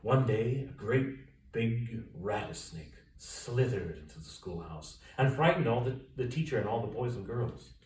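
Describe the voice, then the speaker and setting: Male storybook reader, very lively delivery, distant mic with room echo. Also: mid-range voice, unhurried. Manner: expressive, dramatic emphasis and sound-effect words. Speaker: author reading own story aloud. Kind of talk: children's storybook read-aloud. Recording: roomy echo, distant mic, faint noise.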